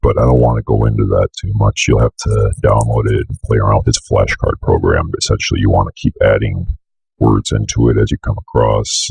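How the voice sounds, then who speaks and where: A young man giving a casual, unscripted software walkthrough, offhandedly muttering to himself as he works, with a gravelly, raspy voice. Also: extremely low pitch, no echo. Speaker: tutorial host.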